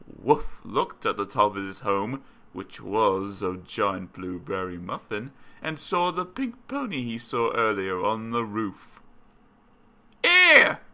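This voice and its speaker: A man narrates a children's story in a parody preschool style. His voice is somewhat low and very animated, with a bright, clear-cut edge. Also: measured pace.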